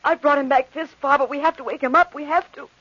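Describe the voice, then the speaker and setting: An actress playing an anxious, determined young bride in an old radio horror play, with urgent, melodramatic delivery. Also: female voice, high-pitched, steady tone, fast, a bit bright. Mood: frantic, pleading. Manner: breathless, melodramatic. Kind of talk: old-time radio horror drama with narration. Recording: muffled vintage radio recording.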